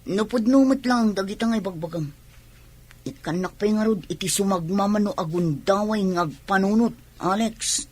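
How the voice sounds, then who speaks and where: An actress playing a young, evasive, exclaiming daughter in a radio drama, with an Ilocano accent. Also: female voice, mid-range voice, normal speed, a little mellow, husky, natural voice. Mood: guarded. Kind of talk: Filipino radio drama acting.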